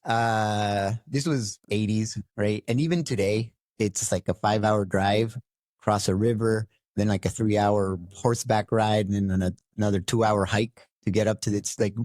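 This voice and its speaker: Low-voiced Costa Rican man, dry sound. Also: steady tone, a little mellow, no echo. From Costa Rica. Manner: halting, many fillers and restarts. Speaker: guest. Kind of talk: interview storytelling.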